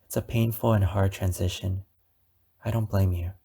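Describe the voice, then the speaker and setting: A man playing an obsessive, wounded, possessive captor in an ASMR roleplay, speaking deliberately with dramatic pauses. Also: deep voice, steady tone, mellow, breathy edge. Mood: calm, coaxing. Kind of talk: ASMR roleplay monologue addressed to the listener.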